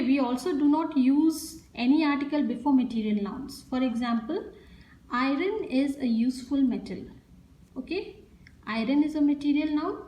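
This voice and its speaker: A woman's voice: sits high, darkish tone. A woman with an Indian English accent teaching a grammar lesson, explaining step by step and repeating each example sentence. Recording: echoey room sound.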